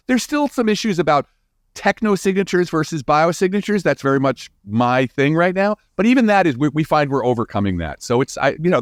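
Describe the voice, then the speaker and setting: A man's voice: medium pitch, no echo, studio quality. A man explaining science as an interview guest in an informal, enthusiastic, conversational way.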